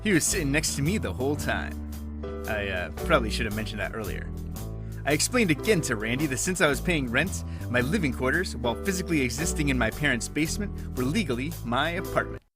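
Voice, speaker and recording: A man giving dry, deadpan hard-boiled detective narration in a comic noir parody. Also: medium pitch, brisk, over music.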